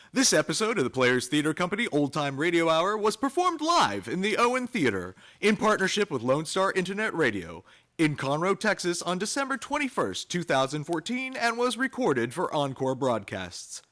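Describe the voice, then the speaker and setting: A man's voice: a little high, pushed and bright. A man reading scripted credits as a radio announcer, recorded live in a theater. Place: theater stage, live performance.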